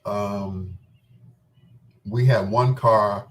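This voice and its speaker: A man's voice: lowish voice, fairly even intonation, extremely slow, recorded from a distance. A middle-aged man reminiscing casually in a rambling, candid and playful way, as the host of his own show. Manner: informal, candid, playful storytelling with self-quoting.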